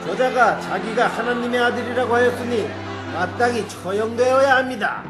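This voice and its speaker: A man's voice: very high-pitched, moderate pace, roomy sound, music in the background, a crowd in the background. A man playing a harsh, jeering accuser from a mob, declaiming and goading in a children's Bible story drama. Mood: accusing.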